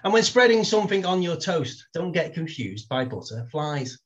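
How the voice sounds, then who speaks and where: A man's voice: fairly high pitch, very animated, moderate pace, muffled recording. A man, a poet guest who chats wryly and reads a comic poem with playful timing. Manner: wry, self-deprecating, reads verse with playful timing. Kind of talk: casual chat and poetry reading.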